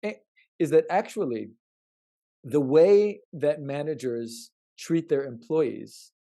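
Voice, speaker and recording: Mellow, slightly high-voiced man explaining haltingly. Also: animated. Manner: halting, repetitive, emphatic, checks in with "right?". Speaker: researcher guest explaining his study. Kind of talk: conversational podcast explanation.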